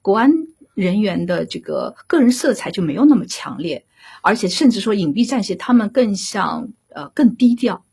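A woman speaking as a guest director, explaining her thinking in a measured, deliberate way and repeating key points. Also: medium pitch, very animated, creaky, darkish tone, breathy edge. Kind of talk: explanatory discussion.

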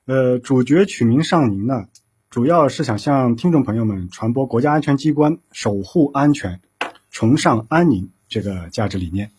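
A man speaking as an official interview guest, explaining things formally in measured phrases. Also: mid-range voice, animated, a little mellow, breathy edge.

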